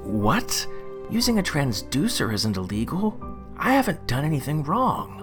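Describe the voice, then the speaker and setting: A man's voice: a little high, wildly swooping pitch, measured pace, music in the background. A man playing a defensive, roguish time-travelling pilot in an audio drama, protesting in casual speech. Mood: indignant. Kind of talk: full-cast audio drama acting.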